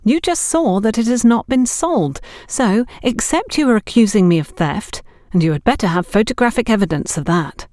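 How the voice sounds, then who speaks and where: A woman's voice: on the high side, expressive, normal speed, few pauses, dark tone, pitched above the performer's own voice, natural voice. A woman voicing a defensive, argumentative young woman accused of theft in an audio story. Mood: hostile.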